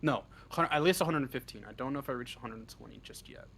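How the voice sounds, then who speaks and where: A man with a medium-pitched voice answers interview questions in a casual way. He is halting and a little self-deprecating, and he swears offhandedly. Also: vocal fry, a bit bright, breathy edge. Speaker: interview guest, script writer. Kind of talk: casual chat.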